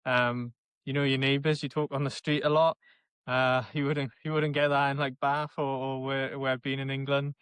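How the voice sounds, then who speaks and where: A young man with a South Wales valleys accent, answering interview questions in a plainspoken, halting way. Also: medium pitch, flat delivery, a bit bright, smooth, no echo. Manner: plainspoken, halting, searches for words. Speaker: interviewee, election candidate.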